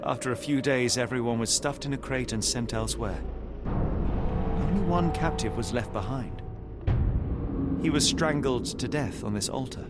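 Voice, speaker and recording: An actor playing a cerebral, self-assured young detective in a video game, with a British accent and precise, theatrical delivery. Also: male voice, medium pitch, moderate pace, natural voice. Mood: analytical, grave. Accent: British English. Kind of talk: dramatic video game cutscene acting. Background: music.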